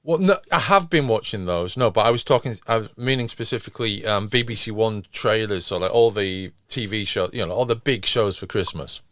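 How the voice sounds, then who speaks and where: A man's voice: lowish voice, brisk, hardly any pauses, a bit bright, no echo, studio quality. A man hosting a podcast in casual chat, with a hesitant, rambling way of talking. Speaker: podcast host.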